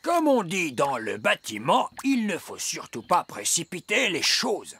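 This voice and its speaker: An actor voicing a stubborn, condescending old elf foreman in a French children's cartoon, unhurried and self-important. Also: fairly high pitch, wildly swooping pitch, pushed and bright, a little hiss or hum, full character voice. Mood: smug. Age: older.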